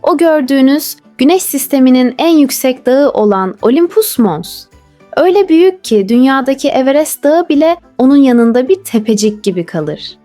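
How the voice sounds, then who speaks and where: A woman's voice: high-pitched, animated, normal speed, warm tone, no echo, soft background music. A woman voicing a knowledgeable, reassuring space guide who explains things to children in a storybook tale. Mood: proud, informative.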